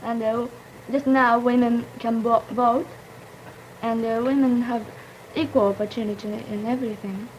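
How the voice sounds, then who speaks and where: A young woman from Japan, Japanese her first language, speaks English in a fairly high voice. She talks carefully, pausing to find her words. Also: fairly even intonation, a little hiss or hum, poor audio. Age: young adult. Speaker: student debater.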